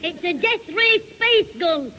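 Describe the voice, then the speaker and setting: Very high-voiced cheeky schoolboy. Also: male voice, lively intonation, slow pace, pushed and bright, exaggerated voice. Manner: cheeky back-chat. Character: cheeky scheming schoolboy, quick with insolent wisecracks. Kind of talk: 1970 radio sitcom acting. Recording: muffled noisy vintage radio recording, audience.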